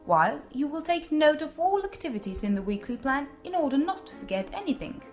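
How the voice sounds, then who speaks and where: A woman voicing a kind, patient father bear in a storybook, explaining things gently. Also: high voice, very animated, brisk, faint music behind, pitched above the performer's own voice.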